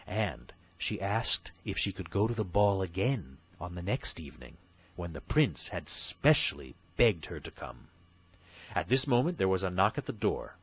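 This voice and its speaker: Low, animated male storyteller, slightly husky. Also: muffled recording. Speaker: audiobook narrator. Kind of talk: scripted bedtime fairy tale narration.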